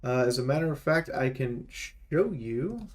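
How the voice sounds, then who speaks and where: A man giving casual, off-the-cuff commentary as a video game reviewer, talking in an animated, rambling, opinionated way with casual slang. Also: medium pitch, unhurried.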